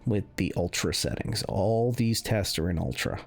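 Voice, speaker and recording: Low, gravelly man giving game commentary. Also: normal speed. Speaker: video game reviewer running benchmarks. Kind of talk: casual unscripted gameplay commentary. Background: music under the voice.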